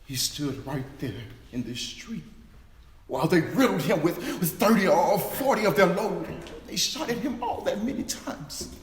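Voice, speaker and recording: A man's voice: high voice, lively intonation, unhurried. A man playing a frightened preacher who witnessed a killing, telling it urgently in a staged dramatic reading. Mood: distressed. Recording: echoey hall, faint background noise.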